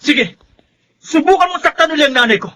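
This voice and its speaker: An actor playing a protective, resentful young student son with an aggrieved, confrontational manner in a Filipino radio drama. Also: male voice, very high-pitched, expressive, brisk, sharp tone, a little hiss or hum, full character voice. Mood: indignant.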